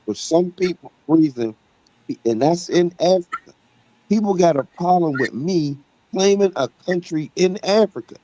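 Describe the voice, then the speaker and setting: A man holds forth on a live online panel, pressing his argument with a mellow, low-key tone. He keeps checking in with his listeners as he drives each point home. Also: expressive, measured pace, slight background noise, rough recording. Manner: emphatic, repeats "right?" and "you know what I'm saying". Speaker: debate panelist. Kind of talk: heated debate talk.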